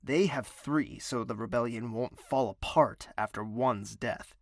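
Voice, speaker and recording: An actor playing a bitter, menacing young prince in an audio drama, speaking in a formal, commanding, deliberate way. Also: male voice, mid-range voice, no room sound, studio quality, lightly acted. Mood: proud, bitter. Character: bitter young male prince, self-declared villain, imperious and menacing.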